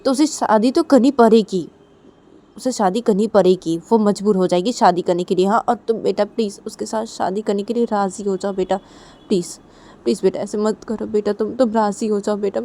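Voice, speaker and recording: A woman's voice: mid-range voice, fairly even intonation, mellow, voice pushed up, natural voice. A woman voicing an anxious middle-aged mother who pleads tearfully and repeats her entreaties. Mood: insistent pleading. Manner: repetitive, imploring, drawn-out pleas.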